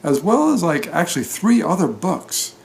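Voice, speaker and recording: A man talking casually and off the cuff as a story reader, in a halting, hesitant manner. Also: a little high, sing-song, gravelly, darkish tone. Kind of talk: narrated book reading, then casual unscripted talk.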